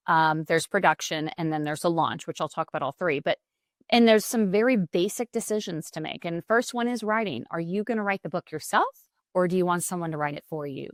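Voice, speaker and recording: A woman who runs a publishing company, talking as a podcast guest in a quick, animated way with frequent restarts. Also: lowish voice, dry recording, clean studio sound. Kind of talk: casual podcast conversation.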